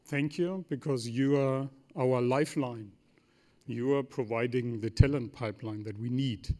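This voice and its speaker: A man's voice: mid-range voice, unhurried, darkish tone. A man from Germany with a German accent and German as his native language, speaking semi-formally as a company executive on a forum panel, emphatic, in short sentences with rhetorical questions.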